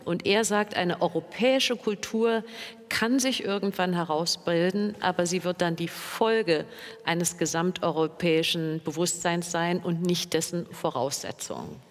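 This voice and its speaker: A woman moderating a panel discussion, asking measured, probing questions. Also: fairly low pitch, in a large hall.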